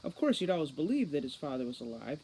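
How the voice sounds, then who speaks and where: A woman reading an audiobook novel aloud in an expressive storytelling style. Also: deep voice, fast talker, low-quality recording. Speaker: audiobook reader. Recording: faint background noise.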